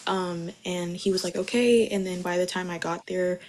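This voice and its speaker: Young woman on the stand in court, slightly low voice, casual wording, bit of background noise. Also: fairly even intonation, moderate pace, a little mellow. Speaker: witness, mother testifying in court. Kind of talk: courtroom testimony, informal recounting.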